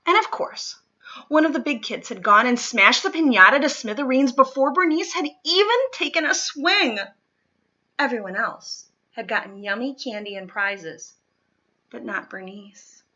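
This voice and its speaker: A woman reading a children's picture book aloud in an expressive, dramatic way, leaning on key words. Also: a little high, muffled recording. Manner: dramatic, deliberate storytelling with stressed key words. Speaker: storybook reader.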